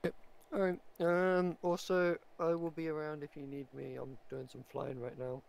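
A man's voice: a little high, level tone, normal speed, gravelly, a little mellow. A man chatting casually as a caller in an online roleplay game. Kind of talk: casual chat. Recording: faintly noisy gaming voice chat.

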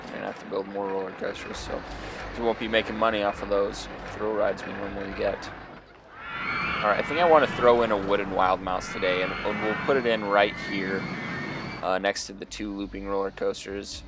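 A young man with a deep, quiet voice, chatting casually and rambling as he comments on his own gameplay. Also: brisk, noisy background. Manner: relaxed, rambling, thinks aloud with fillers. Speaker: gameplay video host.

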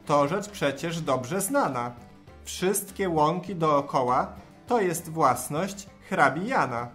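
An actor voicing a clever talking cat in a rhymed fairy-tale audio drama, polite and coaxing in deliberate verse. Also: mid-range voice, animated, a bit bright, faint music behind. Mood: commanding. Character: clever, courteous, cunning talking tomcat in boots.

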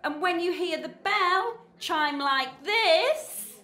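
A woman's voice: very high-pitched, animated, takes it slowly, noisy background, exaggerated voice. A woman playing a bubbly, bossy speed-dating hostess in a radio play, announcing playfully and theatrically to a room. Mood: perky, teasing. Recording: roomy, echoing sound.

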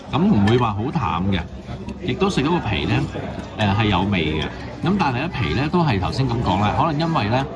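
A man giving a chatty, casual food review voice-over, musing out loud and mildly critical. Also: lowish voice.

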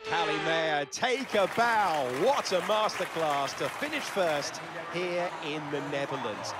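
A man playing an excited, admiring motorsport commentator in a video game. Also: a little high, unhurried, heavy background noise. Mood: thrilled, admiring. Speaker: race commentator. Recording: loud crowd roar behind commentary.

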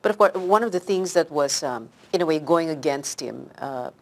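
A woman talk show host with a notably low voice puts questions to her guest. She speaks in a chatty, loose way, full of fillers and small hesitations. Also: lively intonation, normal speed. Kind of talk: interviewing, conversational.

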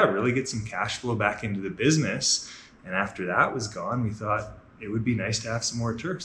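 Guest gym owner in his 30s, low-ish voice, echoey large indoor gym, faint hum behind. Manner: relaxed, matter-of-fact, with frequent fillers. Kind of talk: casual storytelling on a walking tour.

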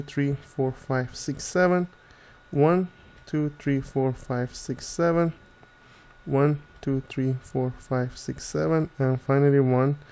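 Mellow-voiced man giving a tutorial. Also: mid-range voice. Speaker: chemistry tutor. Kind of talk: step-by-step tutorial narration.

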